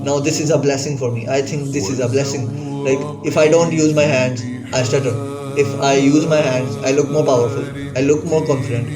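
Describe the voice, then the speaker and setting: A man's voice: medium pitch, steady tone, brisk. A young man giving earnest, casual advice, with repeated "bro" and "like" and small restarts. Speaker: student giving self-help advice. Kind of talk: casual advice talk to camera. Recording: distant mic with clear background music. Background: nasheed vocals.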